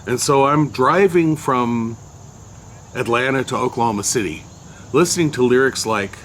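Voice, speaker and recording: An older man with a loud voice casually recounting a memory, unhurried and rambling with many fillers. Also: medium pitch, slight background noise. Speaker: storyteller recounting a personal memory. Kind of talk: casual spoken recollection.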